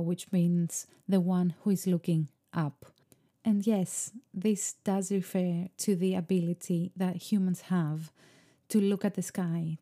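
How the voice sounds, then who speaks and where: A woman whose first language is Greek, speaking in a slow, meditative, musing way about creativity. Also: fairly low pitch, warm tone. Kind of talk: reflective spoken monologue.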